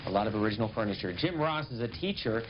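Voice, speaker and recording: Quick-talking host guy, straight to the point, dull tv sound. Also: on the low side, animated. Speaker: television host. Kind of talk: hosting interview and tour. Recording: muffled broadcast audio with faint hiss.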